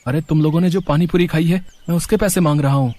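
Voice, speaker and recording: A man's voice: fairly high pitch, steady tone, quick pace, mellow, natural voice. A man playing an honest, aggrieved poor street food vendor with a plaintive, protesting manner in a story. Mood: indignant protest.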